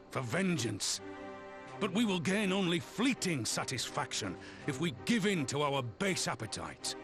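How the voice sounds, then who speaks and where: Imposing resistance statesman, measured and theatrical, lively intonation, fantasy game with prominent score. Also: on the high side, full character voice. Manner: measured, solemn, rhetorical. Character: imposing male rebel elder orator, earnest, called a bull. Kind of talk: theatrical oratory. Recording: loud music behind.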